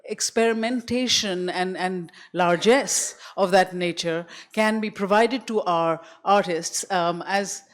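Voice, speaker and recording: A woman's voice: lowish voice, slow, hardly any pauses. A woman, an artist in the audience, asking a long, winding question from the floor with emphatic enthusiasm.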